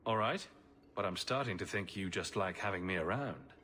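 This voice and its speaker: Wry, low-voiced game hero. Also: male voice, moderate pace, sharp tone, slight background noise. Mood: dry, helpful. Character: helpful, dryly wry male adventurer hero. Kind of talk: scripted game cutscene acting.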